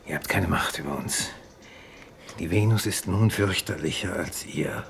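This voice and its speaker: A very deep male voice plays a grave, unbending exile in a 1950s radio drama. He makes measured, solemn declarations with a gravelly edge. The old recording carries some faint noise. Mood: defiant, grave. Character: resigned, unbending exiled doctor in a penal colony on Venus. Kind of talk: 1950s German radio play acting.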